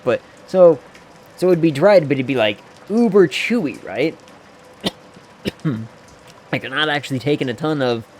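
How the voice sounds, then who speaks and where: A man's voice: mid-range voice, a little hiss or hum. A man streaming a video game, rambling and joking in asides to his viewers. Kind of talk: casual let's-play commentary.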